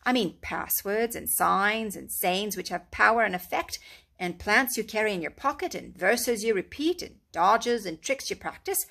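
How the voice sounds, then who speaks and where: A woman's voice: fairly high pitch, gravelly, fairly bright tone, heavily acted. Storybook water rat, brave and cheery, woman reader voicing him with hearty reassurance. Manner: hearty, reassuring, a little lecturing.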